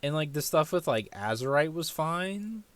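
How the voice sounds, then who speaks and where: A man's voice: a little high, animated, normal speed, no echo. A man giving casual, ranting gameplay commentary as a streamer, exasperated and sweary. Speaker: game streamer. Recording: game music behind the voice.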